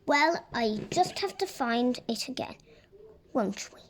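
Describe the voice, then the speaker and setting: A woman's voice: fairly high pitch, lively intonation, slow. A woman voicing a cheerful, kindly male storybook character who is chatty and encouraging.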